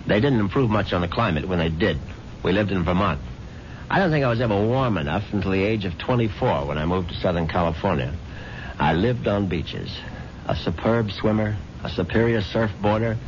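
A man's voice: low-pitched, a little hiss or hum, lightly acted. An actor playing a wry, self-satisfied idle husband who narrates his own story in a dry, urbane way in a 1970s radio drama. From born in London, moved to the United States when young (character). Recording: dull lo-fi 1970s radio broadcast, loud music.

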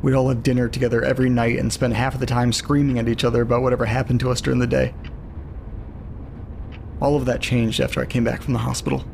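Faint noise sits behind an uneasy, lonely man played by an actor in an audio drama. He reads eerie letters aloud as a monologue, in a middle-pitched voice. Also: little pitch movement, quick pace, creaky, a little mellow, lightly acted. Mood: somber, recounting. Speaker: narrator.

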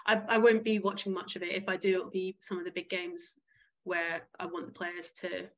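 A woman's voice: on the low side, little pitch movement, normal speed, a bit bright. A woman from the Isle of Wight, a football club chief executive speaking frankly as an interview guest and often restarting her sentences. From Isle of Wight, England.

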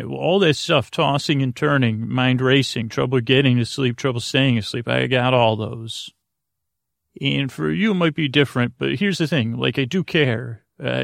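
A man with a creaky voice hosting a bedtime sleep podcast, talking in a halting, meandering way full of tangents. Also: medium pitch, no room sound, clean studio sound. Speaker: podcast host.

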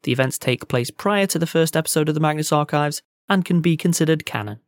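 A man's voice: on the high side, fast, no room sound, studio quality. A man giving a scripted podcast introduction as the host. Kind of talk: scripted announcement.

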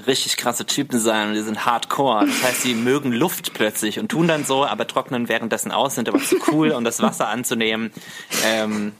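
Young guy guesting on a podcast, joking around, playful, throws in english slang, normal pitch. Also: fairly quick, noisy background. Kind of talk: casual chat, joking banter.

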